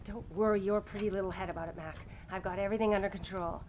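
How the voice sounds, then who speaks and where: A woman's voice: mid-range voice, fairly even intonation, fast talker, muffled recording, noisy background, close to an everyday voice. A woman playing a bossy, scheming treasure hunter in a radio play, curt, sarcastic and cold. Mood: smug, scheming.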